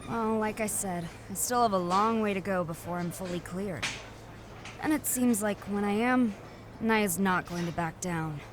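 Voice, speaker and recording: A woman's voice: medium pitch, normal speed, natural voice. Woman acting a guarded female wrestler, noisy background. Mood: weary, frank. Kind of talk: video game cutscene dialogue.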